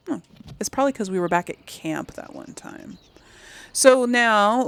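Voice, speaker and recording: Woman gamer with lively, swooping pitch. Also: creaky. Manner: thinks aloud, muttering to herself. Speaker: gaming channel host. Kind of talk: casual game playthrough commentary.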